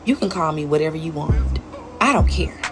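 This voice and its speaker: A woman's voice: deep voice, lively intonation, brisk, over music. A woman speaking in a defiant, dismissive way.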